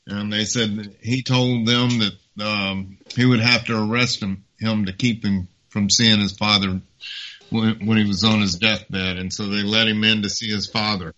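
A middle-aged man talks as a guest on the show, pausing and restarting with plenty of fillers. His voice sits fairly low but has a bright, forceful edge. The audio is dull and lo-fi. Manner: halting, many fillers and repeats. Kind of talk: casual talk show conversation.

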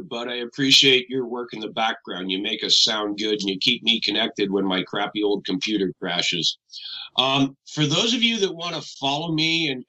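A man's voice: mid-range voice, bright, forceful tone, low-quality recording. A Canadian man talking as a guest on a radio show, rambling and conversational, often correcting himself mid-sentence. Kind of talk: casual radio interview.

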